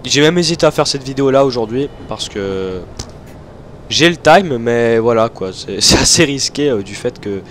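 A man's voice: mid-range voice, expressive, normal speed, fairly bright tone, slight background noise. Chatty young man with a stuffy nose. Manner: chatty, rambling, many fillers and self-corrections. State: stuffy nose from hay fever. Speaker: gaming video host. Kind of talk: casual gameplay commentary.

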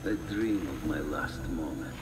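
An actor playing a solemn hunter villain in a video game, speaking a grave, poetic monologue in a gravelly voice. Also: male voice, rumbling low, lively intonation, unhurried, background noise, exaggerated voice. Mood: grim, solemn. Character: solemn, death-obsessed male hunter villain. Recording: roomy, with music behind.

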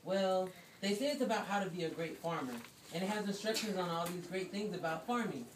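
A woman's voice: fairly low pitch, a little fast, lightly acted. A woman playing a good-natured, plain-spoken farmer in an amateur puppet show. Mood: admiring, chatty. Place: classroom, performers behind a draped table. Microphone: distant camera. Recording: noisy, echoey classroom from far off.

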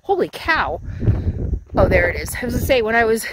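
A woman's voice: on the high side, very animated, brisk, noisy background. A woman in her fifties vlogging casually from outdoors and inside her RV, chatting and sweetly talking to her dog, recorded on a phone at arm's length. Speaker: RV travel vlogger. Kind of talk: casual vlog chat to camera and dog. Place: outdoors in windy high desert and inside an RV. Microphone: phone camera at arm's length.

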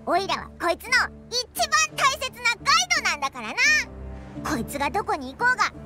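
A woman voicing a tiny, cheeky fairy companion in an anime game, childlike and squeaky, proud and easily offended. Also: sing-song, quick pace. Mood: proud, insistent. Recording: music behind the voice.

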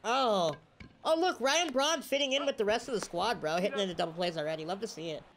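A young man streaming a baseball game, talking casually and yelling at the plays, a bit congested with a slight cough, on a headset mic at home. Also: high-pitched, fast talker, faint music behind. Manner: excitable, yelling at the game, slangy "bro". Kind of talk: casual gameplay commentary.

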